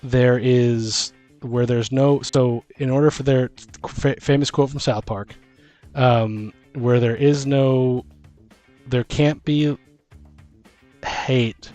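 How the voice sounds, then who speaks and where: A man casually brainstorming fantasy lore as a podcast host, thinking aloud in halting, repeated phrases. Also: mid-range voice, a little mellow.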